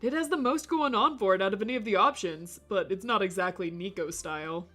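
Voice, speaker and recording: A woman voicing a friendly, easygoing young man in a story game, talking in a soft, relaxed way. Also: medium pitch, fairly quick, soft background music.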